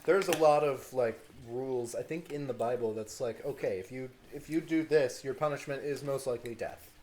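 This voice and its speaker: A man's voice: medium pitch, normal speed, darkish tone, slight background noise. A man co-hosting a casual podcast, joking and rambling through history talk at a table indoors. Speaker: podcast co-host. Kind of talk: casual banter.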